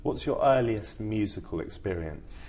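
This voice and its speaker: A man's voice: on the low side, expressive, normal speed, a little mellow. A man interviewing a guest, asking short, polite questions.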